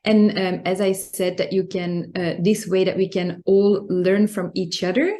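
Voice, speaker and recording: A woman from Belgium, speaking as a language, gives an informal talk in an earnest, explanatory way with frequent pauses. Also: fairly low pitch, steady tone, mellow. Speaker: guest speaker, coach and club secretary. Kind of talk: informal prepared talk on workplace values.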